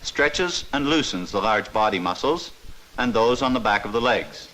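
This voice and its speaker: A man giving a formal, measured, scripted narration in the style of a 1950s instructional film. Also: lowish voice, lively intonation, sharp tone, low-quality recording.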